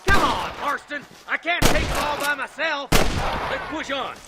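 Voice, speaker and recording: Commanding frontier lawman, very high strained pitch, barked orders, loud gunfire noise. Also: animated, moderate pace. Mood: gruff, urgent. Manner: barking orders, forceful. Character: gruff, commanding Old West lawman in a gunfight. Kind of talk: video game combat dialogue. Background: gunshots.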